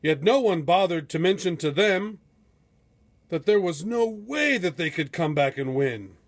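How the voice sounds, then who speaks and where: A man reading a rhyming storybook aloud in a theatrical, measured, epic storytelling manner. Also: sits high, expressive. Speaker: storybook reader. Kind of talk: dramatic rhyming storybook narration.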